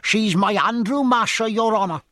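A man's voice: sits high, normal speed, pushed and bright, dry recording, clean studio sound, voice pushed up. A man voicing an eager, pleading male quarter gunner who gabbles his claims.

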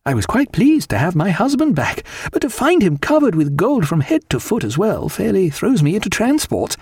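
A man's voice: a little high, big pitch swings, normal speed, darkish tone, dry recording, clean studio sound, voice pushed up. A man voicing a vivacious, elegant upper-class wife with an exclamatory, refined period manner in an audiobook. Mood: overjoyed.

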